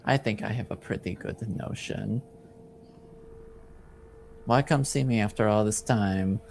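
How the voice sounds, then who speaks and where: A man's voice: on the low side, a little slow, creaky, a little mellow, slight background noise. A man playing a cool, guarded noblewoman in a tabletop fantasy game, speaking formally and with reserve. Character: cool, guarded, analytical masked noblewoman, older.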